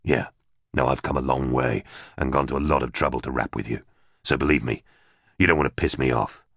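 A man's voice: rumbling low, steady tone, a little fast, a bit bright, no room sound, studio quality, voice pushed down, exaggerated voice. A man voicing a tough ex-army psychic in an audiobook, curt and menacing, with occasional swearing. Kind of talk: audiobook character voice.

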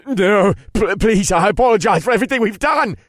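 A man's voice: high-pitched, lively intonation, fairly quick, no echo, studio quality, voice pushed up. A man voicing a terrified, grovelling male warlock in an audiobook, stuttering and pleading in panic. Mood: panicked begging.

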